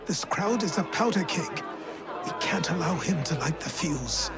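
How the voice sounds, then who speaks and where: Stern mentor, raised high voice. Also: male voice, animated, moderate pace, loud music behind the voice, a loud crowd. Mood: urgent, stern. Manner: brusque, commanding, urgent. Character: stern, impatient male mentor giving orders.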